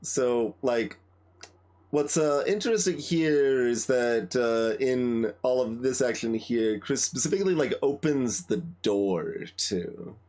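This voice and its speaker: A man giving casual game commentary as a streamer, halting and thinking aloud as he chats with viewers. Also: mid-range voice, a little mellow. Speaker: livestreamer, game playthrough host.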